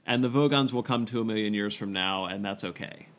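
A man casually discussing books as a podcast co-host, halting and repeating phrases as he thinks. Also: on the low side, fairly bright tone.

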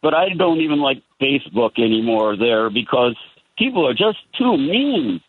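A man's voice: mid-range voice, sing-song, normal speed, a little hiss or hum. An older man calling in to a radio show, chatting in a friendly, advising, rambling way. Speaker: caller. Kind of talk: phone-in chat with host. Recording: tinny phone line.

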